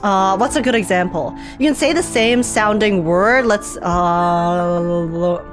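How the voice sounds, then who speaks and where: A woman's voice: mid-range voice, takes it slowly, faint music behind. A woman streaming a live game reaction, rambling casually with lots of fillers and frequent laughs.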